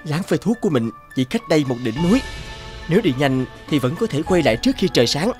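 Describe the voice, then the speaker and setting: An excitable, greedy young peasant. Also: male voice, a little high, quick pace, soft background music. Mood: scheming, excited. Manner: dramatic, exclaiming, pleading. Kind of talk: animated fairy-tale character acting.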